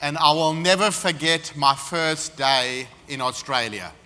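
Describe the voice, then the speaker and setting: A man's voice: a little high, a little slow, bright, forceful tone. A man from South Africa with a South African accent, giving a confident, anecdotal seminar talk as guest speaker in an expo hall. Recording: reverberant hall.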